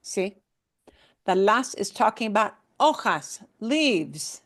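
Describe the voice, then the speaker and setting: A woman's voice: medium pitch, sing-song, slow pace, fairly bright tone. A woman teaching a language class, patiently explaining and repeating words. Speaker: Spanish teacher.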